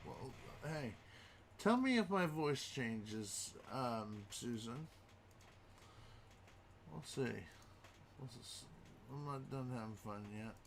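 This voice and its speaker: A man's voice: mid-range voice, lively intonation, takes it slowly, long pauses, slight background noise. A man hosting a live stream in casual chat, rambling, repeating himself and asking his viewers questions. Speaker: streamer host.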